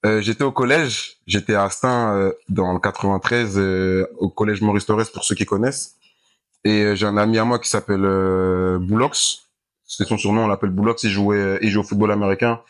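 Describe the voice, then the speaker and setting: A man's voice: on the low side, brisk. A young man from France, a football player talking casually in an interview, rambling and repeating himself as he plays a video game. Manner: relaxed, rambling, restarts and repeats phrases, slangy. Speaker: American football player, guest.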